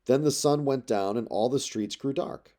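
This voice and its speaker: A man reading epic poetry aloud as a narrator, in measured, dramatic storytelling phrases. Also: medium pitch, warm tone. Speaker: audiobook narrator.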